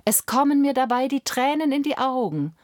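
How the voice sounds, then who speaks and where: Lady doing a shy little kitchen maid, high gentle voice, super dry sound, storybook reading. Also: expressive, normal speed, no room sound. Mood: tender. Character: poor little kitchen girl, timid and earnest.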